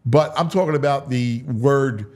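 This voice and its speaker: A man's voice: medium pitch, measured pace. An American man hosting a sports talk show in casual banter, repeating and restarting phrases for emphasis.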